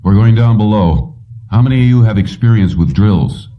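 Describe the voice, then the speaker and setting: A man's voice: fairly low pitch, lively intonation, normal speed, distant mic, voice pushed up, natural voice. Man reading as a brusque, impatient foreman, muffled. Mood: brisk. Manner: brusque, clipped commands and questions. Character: gruff, impatient, suspicious factory foreman barking orders. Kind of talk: audiobook character dialogue.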